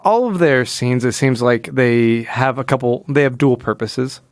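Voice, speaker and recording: A man's voice: mid-range voice, moderate pace, no echo, clean studio sound. A man hosting a casual comic book podcast in a wry, jokey way, often acting out imagined dialogue. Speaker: podcast host.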